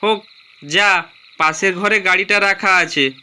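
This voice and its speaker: A man voicing a grumbling but generous young friend in an animated story, blunt and teasing. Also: sits high, a little slow, clear, resonant tone, exaggerated voice. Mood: generous, bossy. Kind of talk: animated horror story dialogue.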